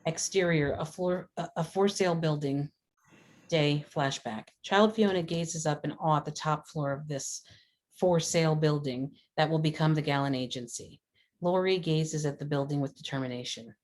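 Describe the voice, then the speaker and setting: A woman with a low voice reads screenplay stage directions as a narrator. Her delivery is scripted narration, as at a table read of a drama. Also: moderate pace, darkish tone.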